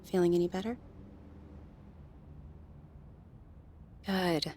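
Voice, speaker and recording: A woman's voice: lowish voice, fairly even intonation, fast, a little mellow, a little hiss or hum, close to an everyday voice. A woman playing a friendly, flirtatious gallery worker in an intimate audio roleplay, soothing and teasing as she talks straight to the listener. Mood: soothing, reassuring.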